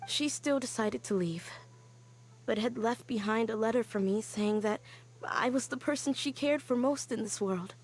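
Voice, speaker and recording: A woman voicing an earnest young woman scholar in a game, telling her story quietly and sighing often. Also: fairly high pitch, moderate pace, lightly acted, rough recording. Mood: wistful. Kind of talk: game cutscene voice acting.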